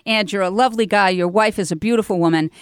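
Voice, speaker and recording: A woman's voice: medium pitch, fast, clear, resonant tone, dry recording, studio quality. Effusive, informal woman hosting live radio. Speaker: radio show host.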